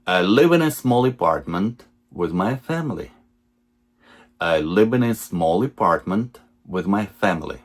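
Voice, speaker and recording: A man teaching English, reading simple example sentences slowly and repeating each one for learners. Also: low-pitched, lively intonation. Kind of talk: slow lesson reading example sentences twice.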